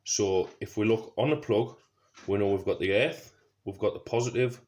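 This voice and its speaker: A man with a fairly low voice presents a casual how-to. He talks through each step as he works, thinking aloud as he goes. There is some faint noise on the amateur recording. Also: moderate pace, a little mellow. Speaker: presenter of a DIY car project video. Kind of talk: casual hands-on tutorial talk. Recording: noisy amateur recording with clicks.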